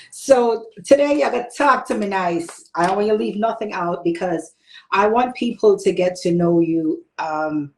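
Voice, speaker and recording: A woman's voice: medium pitch, unhurried, darkish tone, roomy sound, rough recording. A woman hosting her own interview show, chatty and meandering, often restarting her sentences. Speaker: talk show host. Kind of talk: casual interview chat.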